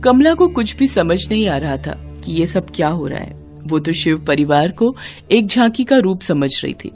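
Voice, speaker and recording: A woman's voice: fairly low pitch, big pitch swings, moderate pace, darkish tone, faint music behind. A woman narrator reading a scripted devotional moral story.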